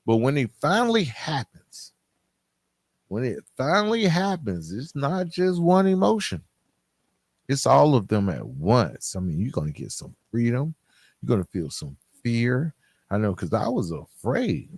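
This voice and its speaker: A man hosting a podcast, telling personal stories in a candid, conversational, halting way with phrases repeated for emphasis. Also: mid-range voice, wildly swooping pitch, a little mellow, slightly rough, no room sound. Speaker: podcast host. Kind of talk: casual personal storytelling to listeners.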